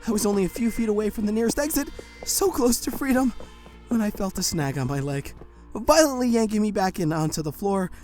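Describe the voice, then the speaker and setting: A woman narrates a first-person audio drama with a tense, breathless edge. Her voice sits at an ordinary middle pitch. Soft music plays faintly behind her. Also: expressive, a little fast.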